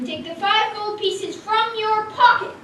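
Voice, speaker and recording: A woman's voice: extremely high pitch, measured pace, pushed and bright, heavily acted. A woman playing a stern, clever judge in a children's puppet show, speaking in a declamatory, commanding way. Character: stern, clever judge presiding over a village court. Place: community puppet show in echoey room. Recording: echoey hall, distant mic, faint noise.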